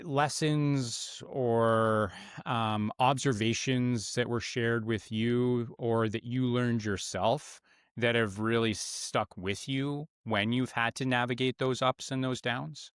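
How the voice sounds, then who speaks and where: A man's voice: mid-range voice, clear, resonant tone, no echo, clean studio sound. A man hosting a sports podcast, asking long, rambling questions with fillers. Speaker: podcast host. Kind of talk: interview questions.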